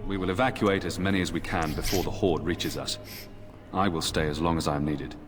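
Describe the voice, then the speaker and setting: An actor playing a dutiful, weary knight commander in a fantasy video game, speaking formally and gravely. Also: male voice, low voice, fairly even intonation, a little fast, natural voice. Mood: somber, earnest. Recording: game dialogue in long reverb under music.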